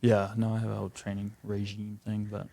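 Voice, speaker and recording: A man's voice: fairly low pitch, a little fast, vocal fry. A man in his twenties from New Zealand, a podcast guest who chats casually and laughs often. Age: 20s. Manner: relaxed, laughs often, says "like" a lot.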